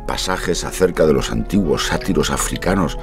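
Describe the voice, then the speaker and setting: An actor playing a grave gentleman narrator and loyal houseguest in a gothic audio drama, with a measured, literary delivery. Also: rumbling low, lively intonation, music in the background. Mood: solemn narration.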